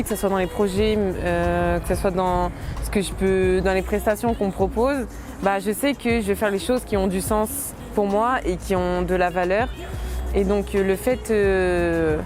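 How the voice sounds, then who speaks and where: A woman in her late twenties, a dancer, talking casually and hesitantly in an interview, with many fillers and soft laughs. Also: medium pitch. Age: late 20s.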